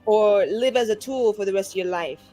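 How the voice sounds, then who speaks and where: A woman's voice: medium pitch, a little fast, dark tone, soft background music. A woman chatting casually as a tabletop player, emphatic and joking with some swearing. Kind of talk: casual group chat.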